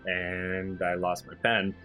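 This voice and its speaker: A man's voice: low voice, monotone, takes it slowly, sharp tone. A man co-hosting a casual let's play, enthusiastic and giggly. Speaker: gaming video co-host. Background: game music.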